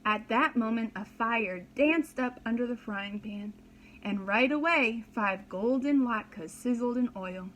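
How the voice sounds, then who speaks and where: A woman pre-kindergarten teacher narrates a picture book with slow, expressive care. Her voice is fairly high, and she explains things for young listeners over faint background noise. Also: rough recording. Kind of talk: read-aloud storybook narration for young children.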